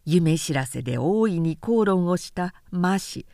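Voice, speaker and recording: A woman's voice: fairly low pitch, lively intonation, measured pace, vocal fry, dark tone, no room sound, studio quality. A woman narrator reading a literary story aloud as scripted narration.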